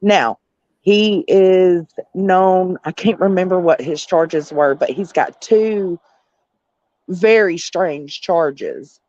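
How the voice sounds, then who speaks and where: A woman's voice: lowish voice, slow, a little mellow, no echo. A woman chatting casually as a caller on a livestream panel, heard over lo-fi voice chat audio.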